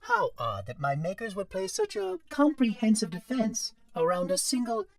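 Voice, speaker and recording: A man's voice: high voice, huge pitch swings, normal speed, no room sound, heavily acted. A man voicing a fussy, chatty, polite robot caretaker in a video game, with prim, precise diction. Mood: fretful. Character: fussy, chatty, polite floating robot monitor of an ancient installation. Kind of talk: video game cutscene voice acting.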